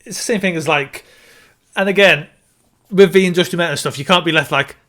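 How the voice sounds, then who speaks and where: Bright-voiced man chatting casually about films. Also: high voice, fairly quick. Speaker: podcast co-host. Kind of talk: casual film review chat.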